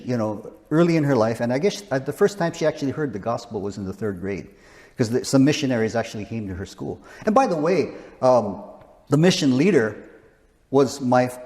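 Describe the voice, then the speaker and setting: A man's voice: mid-range voice, darkish tone, long reverb tail. A man in his sixties, a missionary, talking casually in an interview with frequent restarts. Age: 60s. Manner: rambling, frequent restarts and chuckles.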